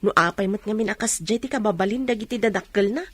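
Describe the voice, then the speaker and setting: An actress playing a nosy, teasing young married friend in an Ilocano radio drama. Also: female voice, medium pitch, fast talker, fairly bright tone, slightly rough, no echo. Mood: teasing, coy.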